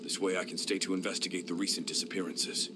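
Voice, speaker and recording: A man voicing a grave, watchful lord commander in a game cutscene, speaking in a deliberate way. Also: low-pitched, little pitch movement, background noise, close to an everyday voice.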